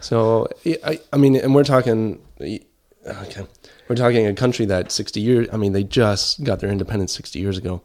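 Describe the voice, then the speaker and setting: A man's voice: on the low side, moderate pace, few pauses, darkish tone. A man who grew up in Kenya, speaking as an interview guest in an earnest, explanatory, conversational way, repeating points for emphasis.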